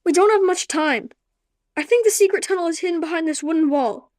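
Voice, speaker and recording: A woman's voice: very high-pitched, brisk, a little mellow, dry recording, studio quality. A woman playing a cautious, determined orphan boy in a radio play.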